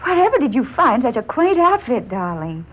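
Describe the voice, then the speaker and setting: An actress playing an excitable, caring young lady friend with a gushing, expressive delivery in a 1940s radio drama. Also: fairly high pitch, moderate pace, breathy edge. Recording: muffled, noisy 1940s radio recording.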